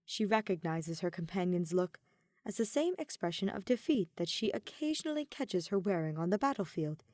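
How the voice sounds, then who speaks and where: A woman reading scripted fiction as a narrator, with expressive, measured storytelling. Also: on the low side, dry recording, studio quality. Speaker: podfic narrator.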